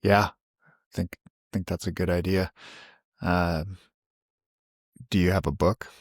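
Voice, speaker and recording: A man's voice: sits low, flat delivery, slow, husky, no room sound, clean studio sound. A man playing a tabletop roleplaying game, putting questions to the group in casual chat.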